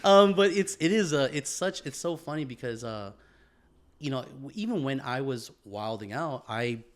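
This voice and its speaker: A man's voice: mid-range voice, animated, normal speed. Casual, profane male podcast co-host. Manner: informal, profane, repeats phrases, peppers talk with "man" and "bro". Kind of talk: casual, reflective conversation.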